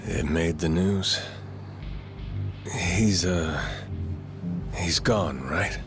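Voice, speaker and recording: Tired guarded gangster, deep and slow, game music behind. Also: male voice, full character voice. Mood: weary. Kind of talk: video game cutscene dub. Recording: dialogue over game music.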